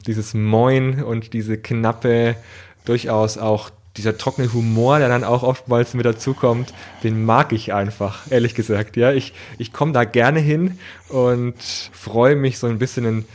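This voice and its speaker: A man's voice: medium pitch, steady tone, unhurried, darkish tone. A man from southern Germany talking casually and conversationally as the host of a podcast interview. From Nuremberg, southern Germany.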